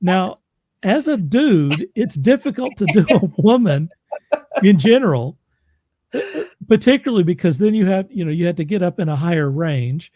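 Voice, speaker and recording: A man with a gravelly voice, a podcast host and audiobook narrator, chatting casually and restarting his sentences as he goes. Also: high-pitched, expressive, warm tone, dry recording. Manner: halting, restarts mid-sentence, chuckles. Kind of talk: casual interview chat.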